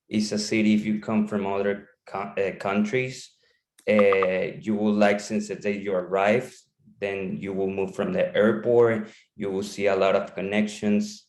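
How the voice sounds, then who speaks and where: A man's voice: on the low side, darkish tone, roomy sound. A man from Panama presenting his city over a video call, in an enthusiastic, loose and conversational way. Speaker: campus representative.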